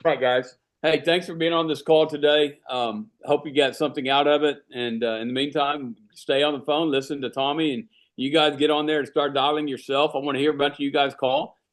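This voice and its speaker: A man's voice: on the high side, fairly even intonation, a little fast, hardly any pauses. A man leading an insurance team's training call, talking loosely with many fillers and restarts. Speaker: insurance agency leader running a team training call. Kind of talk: casual team meeting talk.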